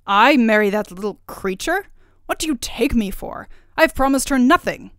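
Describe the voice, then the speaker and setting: A woman's voice: mid-range voice, steady tone, moderate pace, dry recording, studio quality, natural voice. A woman voicing a proud, lovelorn young king in a fairy tale, stubborn and indignant.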